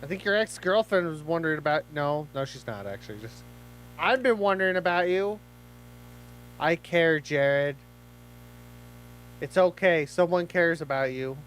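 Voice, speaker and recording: A man's voice: fairly high pitch, animated, moderate pace, long pauses, fairly bright tone, a little hiss or hum, poor audio. A man hosting a horror watch-along, giving casual reaction commentary with playful, rambling asides.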